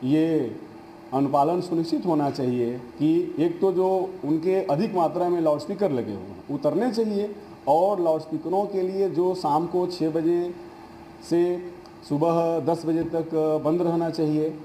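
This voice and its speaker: Male politician, very dark soft tone, roomy echo, halting official statement. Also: on the high side. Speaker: government minister. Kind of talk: spoken statement to news media. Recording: roomy echo with faint background noise.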